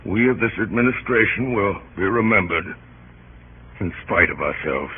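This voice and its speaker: Grave low husky wartime president, slow solemn speeches, muffled 30s radio drama recording. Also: male voice, lively intonation, slight background noise. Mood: grave oratory. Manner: solemn, measured, oratorical. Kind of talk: 1930s radio drama acting.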